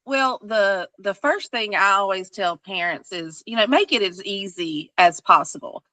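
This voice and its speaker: A woman's voice: mid-range voice, unhurried, sharp tone. A woman tutor on a podcast giving easygoing advice to parents, with many fillers.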